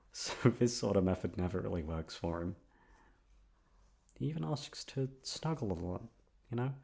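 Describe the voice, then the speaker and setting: A man plays a young male best friend in an ASMR roleplay, hopelessly and obsessively in love. His voice sits fairly low. He talks intimately to the listener in a hesitant, nervous ramble, circling around what he really means. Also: vocal fry, a little mellow.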